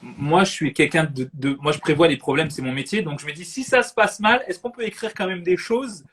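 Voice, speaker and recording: Un homme qui anime une discussion en direct, animé, qui rit souvent et répète ses mots. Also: male voice, a little high, a little fast. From French Caribbean. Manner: animated, laughs often, repeats words. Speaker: host. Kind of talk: casual live chat. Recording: noisy live stream audio.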